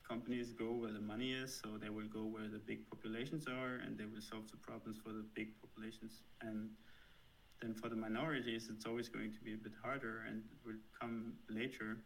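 A man's voice: lowish voice, level tone, fairly quick, creaky, slight background noise, poor audio. A man in his thirties with a German accent, answering interview questions thoughtfully, with frequent fillers and restarts. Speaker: software developer guest.

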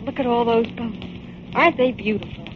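Woman acting a high-voiced plucky radio assistant. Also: brisk, natural voice. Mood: curious. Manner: questioning, spirited. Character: plucky, inquisitive female detective's assistant. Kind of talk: 1940s radio crime drama acting. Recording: noisy, dull 1940s radio recording.

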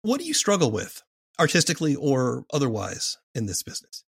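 Male podcast interviewer, mid-pitched with heavy fry, conversational and reflective, recorded dry. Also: huge pitch swings, fairly quick, no echo. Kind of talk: casual interview chat.

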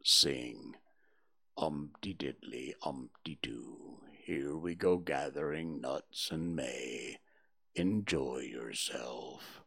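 A man voicing a gloomy old gray male donkey, speaking slowly and mournfully in a low, breathy, half-whispered voice. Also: bright, forceful tone, heavily acted. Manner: slow, mournful, sighing.

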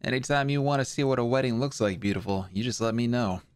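A man's voice: medium pitch, quick pace, clear, resonant tone, natural voice. A man playing a cocky, flirtatious doctor sidekick in a sci-fi audio drama, teasing and wisecracking.

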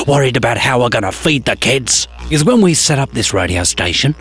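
A man's voice: medium pitch, fairly bright tone. A man playing a broke, angry middle-aged male radio station owner, who swears and berates his listeners in a comedy sketch. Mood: grumbling. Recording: faint didgeridoo music behind.

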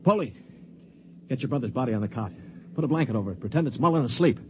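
An actor narrates and leads an old science fiction radio play in a wry, commanding way. His pitch moves a lot from line to line. A steady broadcast hiss runs underneath. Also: fast. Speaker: narrator and lead character. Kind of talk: 1950s radio drama acting. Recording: noisy 1950s radio broadcast.

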